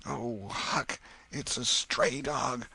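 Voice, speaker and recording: A man voicing an excitable young boy, bold yet superstitious, whispering urgently in rustic dialect. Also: mid-range voice, very slow, vocal fry, husky, voice pushed up, exaggerated voice.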